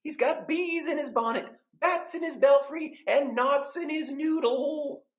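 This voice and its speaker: Super high shrill nosy neighbour, scolding and dragging words out, storybook style, echoey room. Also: male voice. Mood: dismissive. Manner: drawn-out, exaggerated complaining. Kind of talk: children's storybook character voice.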